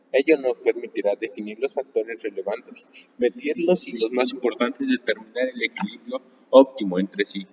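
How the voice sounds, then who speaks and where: Man, live book reader, measured delivery; distant mic, long reverb, dull lo-fi sound. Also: mid-range voice, a little mellow, a little hiss or hum. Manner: measured reading with occasional restarts. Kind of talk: live reading aloud of a nonfiction book.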